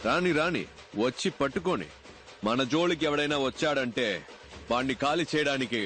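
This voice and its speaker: An actor playing a bold, defiant young gang leader, speaking in a cocky, taunting, slangy way. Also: male voice, fairly high pitch, moderate pace, fairly bright tone, lightly acted. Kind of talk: film drama dialogue.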